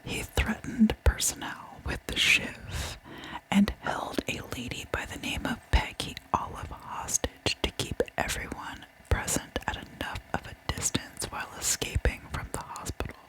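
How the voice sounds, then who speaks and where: A woman whispering a true crime story in ASMR style, with a soft, breathy, unvoiced delivery and deliberate storytelling. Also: poor audio.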